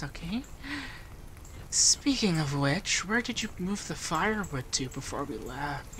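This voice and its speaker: A man playing a doting, teasing feminine male monster companion in a softspoken, often whispered ASMR roleplay. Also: fairly high pitch, a little hiss or hum. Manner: breathy, often dropping to a whisper.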